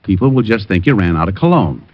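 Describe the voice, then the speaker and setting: A cool, cocky private detective who tosses off dry quips. His voice moves lively through its range, and the old radio recording sounds dull. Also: fairly low pitch, fast talker, no echo. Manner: dry wisecracks, easygoing. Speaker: narrator and lead. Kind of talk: 1950s radio drama acting.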